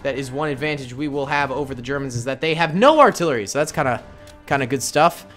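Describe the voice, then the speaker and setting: A young man gives easygoing commentary over a strategy game, joking in slang as he plays. His pitch moves around a lot as he talks. Soft music runs faintly beneath his voice. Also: lively intonation, fast talker. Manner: playful, jokey slang. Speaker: gaming YouTuber. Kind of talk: casual gameplay commentary.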